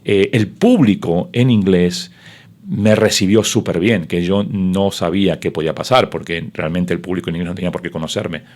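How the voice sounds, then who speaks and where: A man's voice: deep voice, steady tone, moderate pace, darkish tone. A middle-aged man, a sports broadcaster whose first language is Spanish, telling his story in an interview with many fillers and restarts.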